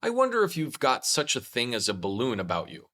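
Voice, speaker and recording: A man's voice: medium pitch, wildly swooping pitch, a little fast, pushed and bright, full character voice. A man voicing a simple, ponderous, honey-loving storybook bear who muses earnestly and repeats himself. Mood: polite, hopeful.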